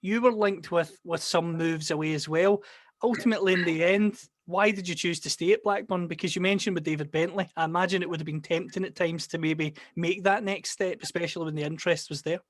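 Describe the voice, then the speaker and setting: A Scottish man hosts an interview, leading into each question with a long setup. His voice sits a little high. The sound is dry, with no room echo. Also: steady tone, fairly quick. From Scotland. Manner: long, carefully set-up questions. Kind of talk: podcast interview.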